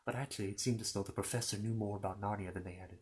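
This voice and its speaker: A man's voice: lowish voice, fairly even intonation, rapid-fire, slight background noise. A man telling a children's fantasy story in an informal ASMR style, rambling along with playful, courteous asides to the listener.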